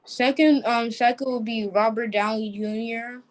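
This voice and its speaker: A woman's voice: fairly high pitch, steady tone, normal speed, poor audio. A female interview guest chatting casually and hesitantly, searching for words. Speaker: young cast member, interview guest. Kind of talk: casual chat.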